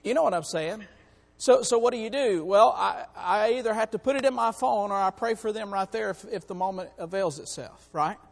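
A hoarse man on church staff preaching a sermon to his congregation, in a folksy way that calls out to them. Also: sits high, fairly quick, big-room sound. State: hoarse, has lost part of his voice. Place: church sanctuary.

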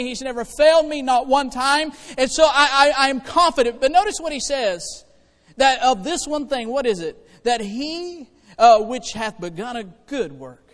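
A man preaching to a congregation in a folksy, conversational way, moving between hushed asides and loud exhortation. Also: extremely high pitch. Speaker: preacher and church choir director. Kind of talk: animated sermon to a congregation. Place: church hall, preaching to congregation. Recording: reverberant hall with long echo.

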